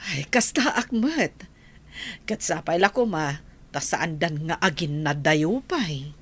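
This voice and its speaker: A woman acting a slow, husky Filipino friend. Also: low-pitched, animated, heavily acted. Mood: pleased. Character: chatty female friend excited about a couple's love story. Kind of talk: Filipino audio drama dialogue.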